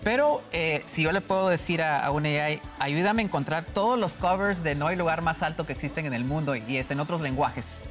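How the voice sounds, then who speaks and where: Man with a Mexican-tinged accent, halting. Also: fairly high pitch, a bit bright, faint music behind. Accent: Mexican-tinged Spanish. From Guatemala. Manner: hesitant, many restarts and fillers. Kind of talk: conversational interview answers.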